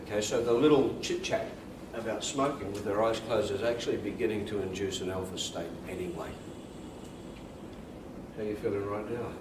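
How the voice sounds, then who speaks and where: An Australian man with a deep voice, calmly and deliberately teaching and demonstrating hypnosis in a soft-spoken, repetitive way. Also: background noise. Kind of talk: hypnosis instruction and induction, softly spoken.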